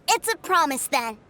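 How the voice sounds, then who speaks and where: A woman voicing an eager, innocent little boy in an anime video game, with an excitable, earnest, childlike manner. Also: extremely high pitch, a little hiss or hum, heavily acted. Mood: happy, affectionate. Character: eager, innocent little boy who adores his big brother.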